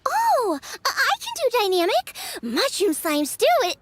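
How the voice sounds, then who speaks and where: A woman's voice: very high voice, huge pitch swings, unhurried, a bit bright, a little hiss or hum, exaggerated voice. A woman voicing an eager, earnest young female chef who gets a little flustered.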